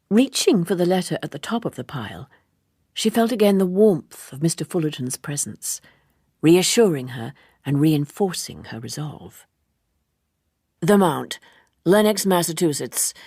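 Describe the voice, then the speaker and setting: A woman narrating a literary audiobook in a measured, expressive manner with deliberate phrasing. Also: on the low side, vocal fry, slightly rough, no echo, studio quality.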